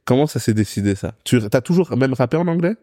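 A male podcast host keeps the interview loose and friendly, and he backs his guest up with quick agreements. His voice is dark and mellow. The sound is dry, with no room around it. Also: mid-range voice, expressive, no room sound. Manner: frequent short backchannel replies, chatty questions. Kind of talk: casual interview.

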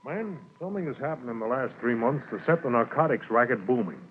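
A man's voice: mid-range voice, expressive, fast, close to an everyday voice. A man playing a determined, authoritative Mountie sergeant in a 1950s radio drama on an old radio recording. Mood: urgent, businesslike. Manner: authoritative, clipped. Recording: tinny, hissy 1950s radio transcription.

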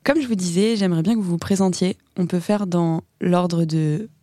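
A woman's voice: on the low side, moderate pace, warm tone, no room sound, studio quality. A woman hosting a podcast interview, bubbly and informal, cursing casually as she chats with her guests. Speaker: podcast host.

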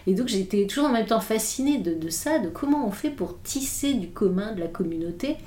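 Hesitant, thoughtful woman with mellow voice. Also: medium pitch, expressive, a little fast, slight background noise. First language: English. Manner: thoughtful, many hesitations and restarts. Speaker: philosopher guest. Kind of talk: reflective interview talk. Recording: roomy echo.

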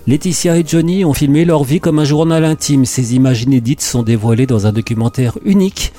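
Male columnist, medium pitch, mellow tone, scripted delivery broken by informal, opinionated remarks. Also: fairly quick. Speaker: radio media columnist. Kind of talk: scripted media chronicle with casual asides.

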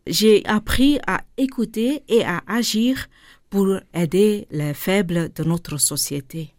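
A woman with a Flemish accent, a native Dutch speaker, talking in a radio interview, hesitant and searching for her words with many pauses and ums. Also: medium pitch, darkish tone, dry recording. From India, raised in Flanders. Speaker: guest, charity director.

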